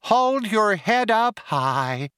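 Chirpy male sci-fi audiobook computer: nasal, high-pitched, whiny, maddeningly over-familiar. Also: big pitch swings, measured pace, sharp tone, smooth, dry recording, clean studio sound, exaggerated voice. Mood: crooning, wailing. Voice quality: nasal, whining. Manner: crooning, wailing, over-familiar. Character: chirpy, relentlessly cheerful male ship's computer, singing mawkishly.

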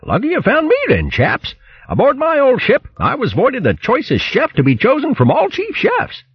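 A blustery posh hare. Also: male voice, extremely high pitch, wildly swooping pitch, normal speed, husky, dull audio, pitched above the performer's own voice, heavily acted. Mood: boastful. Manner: posh bluster, "chaps", "what?", "jolly", "bally". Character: blustery upper-crust hare, male, hearty glutton.

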